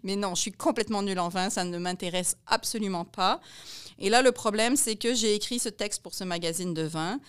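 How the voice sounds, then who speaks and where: A woman's voice: mid-range voice, a little fast, clean tone. A woman with a Quebec French accent, telling stories in a chatty, self-mocking podcast monologue. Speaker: podcast host. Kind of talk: casual storytelling monologue.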